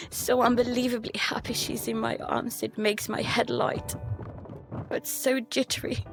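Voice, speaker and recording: Scared child narrator in an audio drama: high voice, slow halting delivery, dramatic music underneath. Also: female voice, poor audio. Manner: halting, repeating words, breathy urgency. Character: terrified child narrator protecting younger sibling at sea. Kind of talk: first-person present-tense dramatic narration. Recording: loud dramatic music behind.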